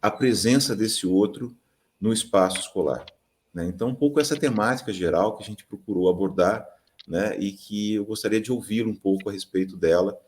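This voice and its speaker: A man acting as mediator, introducing an academic panel in a measured way with frequent tag questions. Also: on the low side, darkish tone.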